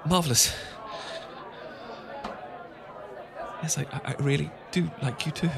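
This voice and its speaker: A bashful young British professor, acted by a man for a romantic audio roleplay. His voice sits a little high and he stammers and gushes in halting, tipsy politeness. People chatter in the background. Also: steady tone, vocal fry. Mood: flustered, eager. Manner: stammering, halting, gushing, old-fashioned polite. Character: bashful, flustered young male English professor, smitten and tipsy. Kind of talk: romantic ASMR roleplay acting.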